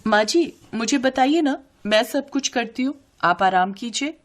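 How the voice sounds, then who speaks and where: Young daughter-in-law read by a female storyteller: sweet, eager to please, polite, lively intonation. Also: fairly high pitch, unhurried. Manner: polite, pleading.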